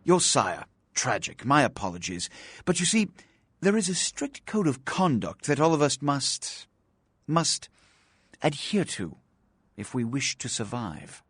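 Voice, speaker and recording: An actor playing a pompous, authoritative vampire prince in a video game, speaking in a formal, oratorical and measured manner. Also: male voice, medium pitch, sing-song, fairly bright tone, husky, no room sound, heavily acted. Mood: condescending. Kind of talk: theatrical video game acting.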